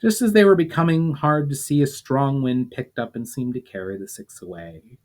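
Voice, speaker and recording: A man reading fantasy fiction aloud as a storyteller, in a dramatic audiobook style with measured phrasing that falls away at line ends. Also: medium pitch. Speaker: author reading own fiction aloud, channel host.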